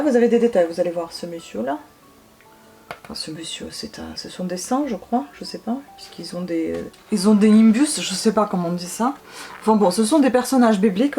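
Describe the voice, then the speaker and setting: A woman's voice: medium pitch, darkish tone, a little hiss or hum. A young woman from Ukraine whose first language is Russian chats enthusiastically to her viewers while showing off her books at home. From Ukraine, former USSR. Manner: chatty and enthusiastic, addressing viewers directly. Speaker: book vlogger. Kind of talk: casual show-and-tell to viewers.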